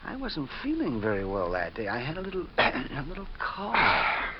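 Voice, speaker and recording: A man's voice: mid-range voice, wildly swooping pitch, unhurried. A man acting in an old radio drama as a fussy, eccentric junk collector, effusive, rambling and very polite. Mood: rambling, evasive. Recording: dull, noisy vintage radio recording.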